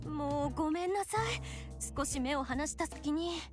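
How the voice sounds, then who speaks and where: Polite young mom for a visual novel, high voice, apologetic. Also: female voice, animated, fairly quick, music in the background. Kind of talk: Japanese visual novel voice acting.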